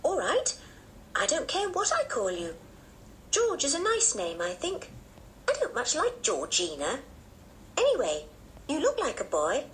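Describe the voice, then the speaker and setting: Woman voicing an eager high-pitched little girl. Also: huge pitch swings, measured pace, pauses often, slight background noise, full character voice. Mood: agreeable. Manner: eager, exclaiming, polite. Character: young girl Anne, chatty, curious, excitable.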